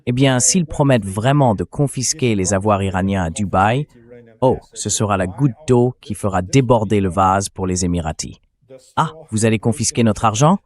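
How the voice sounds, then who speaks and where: Lower-pitched man giving emphatic political commentary. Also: normal speed. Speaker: political analyst, interview guest. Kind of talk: opinionated political commentary, dubbed translation read by AI voice.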